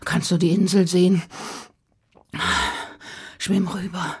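A woman doing a low growling wolf. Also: level tone, slow, a little mellow, husky, full character voice. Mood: gruff. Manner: low, growled and half-whispered. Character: gruff male wolf, growling and knowing.

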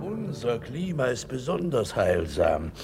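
An actor playing an obsequious, gossipy schemer in a radio play, gravelly and husky, wordy and insinuating in a servile way. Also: male voice, medium pitch, very animated, measured pace, darkish tone, faint music behind. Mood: fawning. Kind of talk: German radio play acting.

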